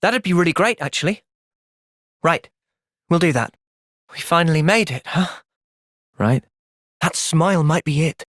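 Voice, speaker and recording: A young man playing an earnest, thoughtful young soldier hero in a fantasy video game's cutscenes, with expressive delivery. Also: fairly high pitch, unhurried, pauses often, dry recording, studio quality. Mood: calm, thoughtful. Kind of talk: video game cutscene acting.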